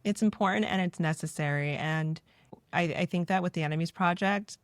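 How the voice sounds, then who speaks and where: A woman's voice: lowish voice, brisk, no echo. A woman hosting a podcast, speaking earnestly and reflectively and asking guiding questions. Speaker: podcast host.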